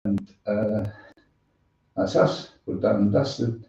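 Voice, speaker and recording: A man hosting a music show live, chatting casually in a rambling, halting way. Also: lowish voice, creaky, mellow. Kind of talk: casual live sign-off chat. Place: room with echo, away from the mic. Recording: roomy, distant, dull-sounding microphone.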